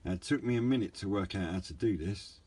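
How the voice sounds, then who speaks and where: A man with a low voice talks through a hobby product review without a script. His delivery is hesitant and low-key, wandering a little as he goes. There is clear background noise in the recording, picked up on a handheld camera. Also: fast, warm tone. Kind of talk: casual, unscripted product review.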